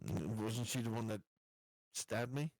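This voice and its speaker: A man's voice: lowish voice, unhurried, creaky, darkish tone. A man talking casually as a player in a tabletop game, blunt and insistent about his plans.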